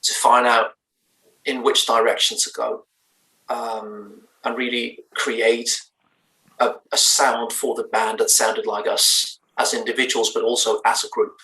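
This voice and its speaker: A man's voice: medium pitch, level tone, measured pace, pushed and bright, reverberant, recorded from a distance, poor audio. A man with a Danish accent, a native Danish speaker, answering interview questions in a thoughtful, hesitant way with many fillers and restarts. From Denmark. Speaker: band's lead singer. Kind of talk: remote interview chat.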